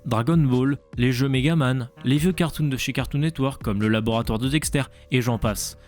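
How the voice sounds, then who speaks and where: A man's voice: mid-range voice, normal speed, a little mellow. A young adult man delivering scripted narration as the narrator of a cartoon review show. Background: background music.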